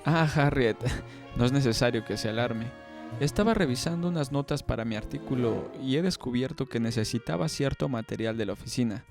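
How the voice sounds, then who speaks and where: Creaky-voiced glib, charming reporter acted by a man. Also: medium pitch, a little fast, over music, close to an everyday voice. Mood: falsely reassuring. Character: glib, charming male reporter covering up his hangover. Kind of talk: audiobook character dialogue.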